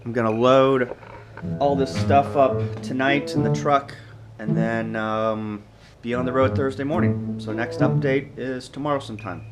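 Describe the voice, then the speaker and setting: An American man giving a casual, unscripted video walkthrough as a hobbyist, halting and matter-of-fact as he goes. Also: medium pitch, fairly even intonation. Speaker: ham radio hobbyist vlogger. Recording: music bed behind the voice. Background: background guitar music.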